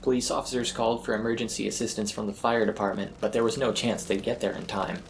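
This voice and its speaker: Low, gravelly male horror narrator. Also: steady tone, fast, slight background noise. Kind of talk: scripted first-person horror narration. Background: eerie ambient music.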